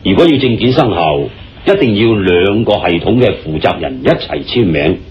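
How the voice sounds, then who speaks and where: Guy playing earnest radio drama confidant, low voice, swings around a lot, hong kong cantonese, muffled w music. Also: sing-song, normal speed, a little hiss or hum. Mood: earnest, secretive. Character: male friend confiding state secrets, earnest, deliberate. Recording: dull, muffled radio-style recording. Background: background music.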